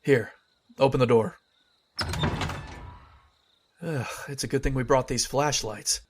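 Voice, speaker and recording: A man's voice: medium pitch, fairly even intonation, slow pace, noisy background, lightly acted. A man playing a skeptical but haunted narrator in an old-time radio horror drama.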